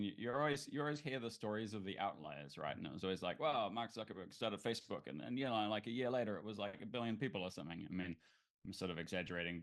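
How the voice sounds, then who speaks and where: A man with a New Zealand accent, a startup founder talking casually in an interview, relaxed and thoughtful with many fillers and restarts. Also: on the low side.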